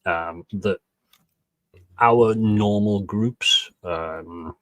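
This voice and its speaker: A man from England with a Liverpool accent, a soft-spoken guest chatting casually on a livestream. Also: on the low side, extremely slow, no echo. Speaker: guest drone pilot.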